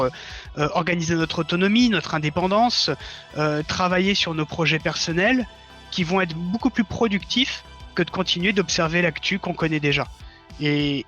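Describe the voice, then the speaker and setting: A man's voice: high-pitched, a bit bright. A man talks casually and earnestly, explaining his views. The sound is somewhat dull and lo-fi, with faint music behind him.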